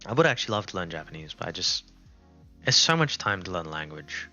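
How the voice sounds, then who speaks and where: Brief: South African streamer, Afrikaans first language, lowish bright voice, rambling casual chat, dull lo-fi audio. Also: male voice, sing-song, brisk. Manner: rambling, thinking aloud, unscripted. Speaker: game streamer. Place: indoors at a laptop. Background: music playing.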